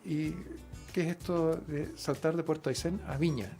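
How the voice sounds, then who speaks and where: A male radio host with a somewhat higher voice. He talks conversationally, with frequent fillers and quick affirmations, over faint background music. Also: steady tone, warm tone. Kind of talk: radio interview.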